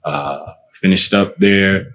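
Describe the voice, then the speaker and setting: A man, calmly telling his story as an interview guest. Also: sits low, takes it slowly, slight background noise. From Minnesota, United States. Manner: calm, matter-of-fact storytelling. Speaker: guest, pro basketball player. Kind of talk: casual interview answers.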